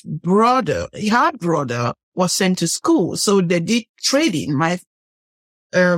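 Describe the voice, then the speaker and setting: A woman's voice: fairly low pitch, no room sound. Middle-aged Cameroonian woman, interview guest, earnest and reflective, recorded dry. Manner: earnest, reflective, often rephrases mid-sentence. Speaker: guest, biblical studies professor. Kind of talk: personal storytelling in an interview. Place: podcast studio table.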